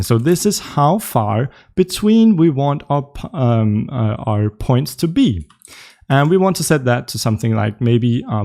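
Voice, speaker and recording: A man's voice: mid-range voice, dark tone. Casual Danish man coding live. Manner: casual, explaining while coding, frequent ums and uhs. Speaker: tutorial host.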